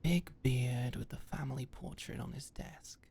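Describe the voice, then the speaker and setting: A woman's voice: very deep voice, normal speed, gravelly, mellow, breathy edge, heavily acted. A woman playing a composed, wry senior corporate mentor who muses and confides in a scripted ASMR audio roleplay. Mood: musing.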